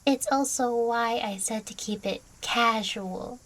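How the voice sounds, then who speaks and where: A woman voicing a playful, affectionate young catgirl girlfriend in an audio roleplay, teasing and coy, speaking closely to the listener with a breathy voice. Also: on the high side, takes it slowly, fairly bright tone, low-quality recording. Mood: tender, nostalgic.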